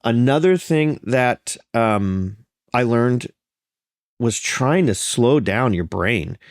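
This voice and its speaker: A pastor being interviewed, talking in an earnest, storytelling way with a slightly congested, nasal sound and frequent restarts. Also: male voice, medium pitch, animated, takes it slowly, dry recording, clean studio sound. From Florida. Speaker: pastor and podcast guest. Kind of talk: conversational interview.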